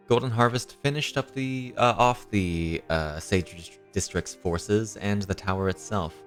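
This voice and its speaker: Deep-voiced, slightly nasal man running the game. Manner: rambling with many ums and uhs. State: recovering from illness and tooth surgery. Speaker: dungeon master. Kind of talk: streamed tabletop game hosting and recap. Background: soft background music.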